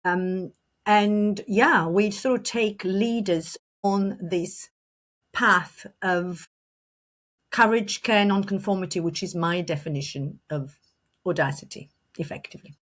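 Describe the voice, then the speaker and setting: A woman's voice: medium pitch, slow pace. A middle-aged woman from Romania, a leadership coach answering podcast questions fluently, with restarts and a slight cough. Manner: fluent with frequent restarts and fillers.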